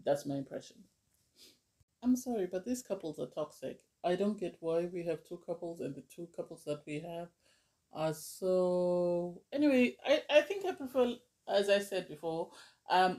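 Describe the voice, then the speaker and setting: A woman talks through a reality show episode in a casual, opinionated review. Her voice sits a little low, and her sore throat is audible. She keeps firing off exasperated questions. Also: animated, moderate pace, a little mellow.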